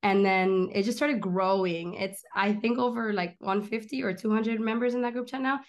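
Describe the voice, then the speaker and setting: Chatty young woman on a video call. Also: medium pitch. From born in Miami, raised in Argentina. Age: 20s. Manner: animated, gestures a lot, rapid run-on storytelling. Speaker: guest, comedian and filmmaker. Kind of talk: casual video-call interview. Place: small room at home, indoors. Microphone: laptop webcam on a video call.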